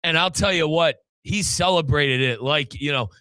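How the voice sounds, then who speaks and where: A man's voice: fairly high pitch, normal speed, sharp tone, clean tone, no echo, poor audio. An American man hosting a sports show, talking soccer in a casual, chatty, slangy way. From United States. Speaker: sports podcast host and play-by-play commentator. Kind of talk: casual, animated soccer discussion.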